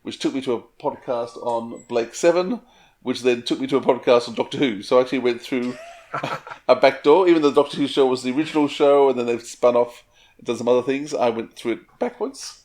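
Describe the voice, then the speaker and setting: Aussie guy, podcast host just chatting away, ordinary mid pitched voice. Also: a little fast, a little mellow. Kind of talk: casual chat.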